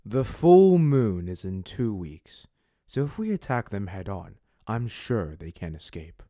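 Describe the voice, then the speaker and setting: A cocky, scheming young werewolf man, as a man plays him, talking with a mellow, darkish tone that swings up and down lively. He pushes his plans with sly confidence. The voice sits close and dry on a home mic. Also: fairly low pitch, a little slow, dry recording. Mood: plotting.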